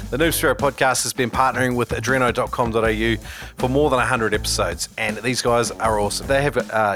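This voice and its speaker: New man hosting a podcast. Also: lowish voice. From New Zealand. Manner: relaxed, hesitant, many fillers and restarts. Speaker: podcast host. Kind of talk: casual interview chat and ad reads.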